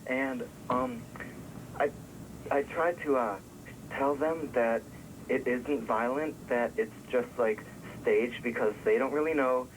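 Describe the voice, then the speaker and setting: A man prank calling a TV show by phone, halting and mock-earnest. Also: mid-range voice. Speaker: prank caller. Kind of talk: casual phone call-in. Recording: faintly noisy phone line on old tape.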